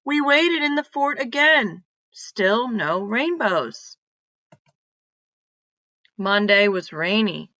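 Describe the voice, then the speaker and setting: Bright-voiced woman reading a children's story, unhurried. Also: mid-range voice, no room sound. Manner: deliberate, animated reading with emphatic exclamations. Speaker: read-aloud storyteller. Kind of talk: expressive children's story narration.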